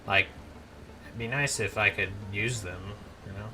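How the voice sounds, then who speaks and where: A man's voice: on the low side, measured pace, over music, poor audio. A man giving casual let's-play commentary, rambling with frequent restarts as he plays. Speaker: gameplay commentator.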